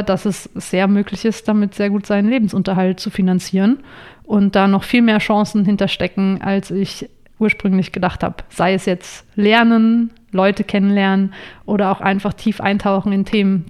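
A young woman with a smooth, clear voice of middle pitch talks as a podcast guest. She answers in a friendly way and explains things patiently, at length. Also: steady tone, moderate pace, a little mellow. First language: not English. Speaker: podcast guest, online writer. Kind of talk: conversational interview answers.

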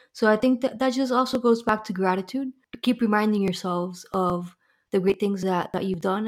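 A woman's voice: mid-range voice, a little mellow. Young miami woman, chatty podcast guest, lots of um and you know. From Miami, Florida. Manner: friendly, chatty with frequent fillers and restarts. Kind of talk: casual interview answers.

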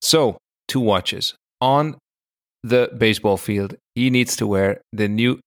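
Male podcast co-host, lowish pitch, very dry sound, casual conversational storytelling. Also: slow pace, no echo.

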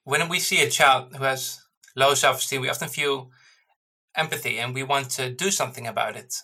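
Bright, tense-voiced male researcher. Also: mid-range voice, moderate pace. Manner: explanatory, careful, repeats words. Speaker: education researcher. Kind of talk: interview answers.

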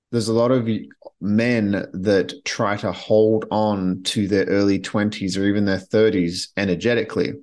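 Blunt, opinionated man with a lowish voice. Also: darkish tone. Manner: blunt, opinionated, with frequent restarts. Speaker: podcast host. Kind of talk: casual monologue.

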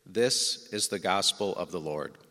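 A man reads the Gospel formally at a church service, taking his time in a fairly low voice. His words ring out with long reverb in a large church. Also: unhurried, sharp tone. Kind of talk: formal liturgical scripture reading.

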